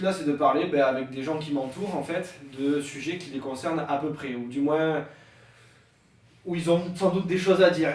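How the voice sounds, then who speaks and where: A young man hosting a casual podcast, hesitant, with many fillers and restarts, and stuffy from a head cold. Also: fairly high pitch. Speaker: podcast host. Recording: echoey room, mic at a distance, faint noise.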